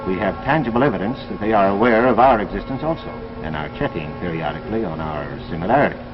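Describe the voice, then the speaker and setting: Actor as deep, solemn committee doctor, vintage sci-fi. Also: male voice, lively intonation, close to an everyday voice. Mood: grave, emphatic. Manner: formal, deliberate, grave. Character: solemn, authoritative doctor heading a secret scientific committee. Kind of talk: 1950s science fiction anthology drama acting. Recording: dull vintage 1950s broadcast, music behind.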